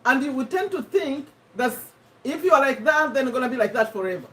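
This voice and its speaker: A woman with a Ugandan accent hosting a casual live stream, chatting to viewers in an animated way and repeating herself for emphasis. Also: high-pitched, a little fast. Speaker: host of a live personal development discussion. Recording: voice somewhat far from the mic.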